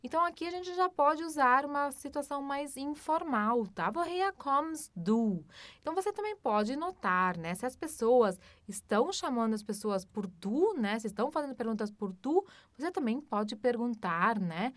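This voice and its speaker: A Brazilian woman, around her thirties, teaches German with patient explanations and lots of quick check-ins with the listener. Her fairly high voice moves up and down a lot. The recording is dry and close, with no room sound. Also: lively intonation, a bit bright, dry recording. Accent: Brazilian Portuguese. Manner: explains patiently, frequent tag questions, slow careful German examples. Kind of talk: language lesson.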